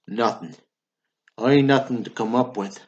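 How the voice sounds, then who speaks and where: A man playing a gruff, weary, laconic in a stage drama, with a terse, deadpan delivery. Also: mid-range voice, measured pace, lo-fi sound, lightly acted. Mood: curt, resigned.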